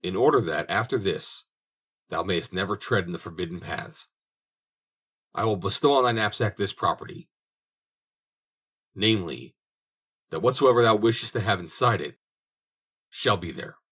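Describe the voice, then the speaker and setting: A man's voice: sits low, lively intonation, moderate pace, pauses often, fairly bright tone, breathy edge, full character voice. A man voicing a stern holy saint who scolds in old-fashioned speech, in an audiobook reading.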